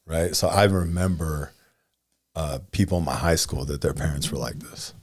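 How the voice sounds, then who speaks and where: A man's voice: extremely low pitch, moderate pace, slightly rough, no room sound, studio quality. A man in his forties co-hosting a casual podcast, thinking aloud and often checking in with "right?" and "you know". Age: 40s. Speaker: podcast co-host.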